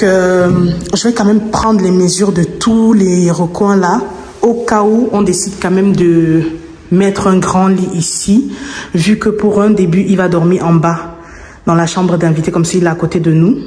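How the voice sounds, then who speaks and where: A Cameroonian woman whose first language is English talks casually to her vlog, musing aloud and dropping in English words. Her tone is mellow. She sounds distant from the microphone, in an empty room with long, heavy reverberation. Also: lowish voice. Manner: informal, thinking aloud, slips in English words. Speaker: vlogger. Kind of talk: casual vlog chat while working. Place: empty freshly plastered room indoors. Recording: very echoey empty room, faint noise, distant mic.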